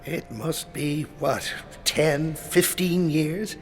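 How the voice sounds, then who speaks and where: An actor playing an older priest in a radio comedy, wry, teasing and theatrical. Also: male voice, on the high side, drawn-out words, soft background music. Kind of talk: British comedy radio play acting.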